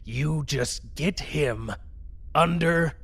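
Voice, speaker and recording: A man voicing a cold, contemptuous elder official in a roleplay audio drama, commanding and menacing, with a gravelly, raspy voice. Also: on the high side, drawn-out words, a bit bright, full character voice.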